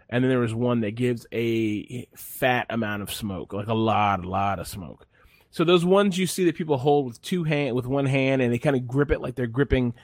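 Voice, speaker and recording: A man's voice: mid-range voice, no echo, studio quality. A man chatting casually on a podcast, telling a rambling, laid-back story full of fillers and restarts. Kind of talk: casual chat.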